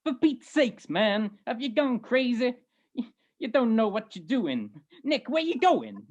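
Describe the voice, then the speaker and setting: A woman's voice: on the high side, very animated, a little slow, darkish tone. A woman playing an alarmed friend in a radio crime drama, urgent and imploring, in slangy period lines. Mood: urgent, pleading. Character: alarmed friend pleading with an armed man. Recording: dull lo-fi video-call audio.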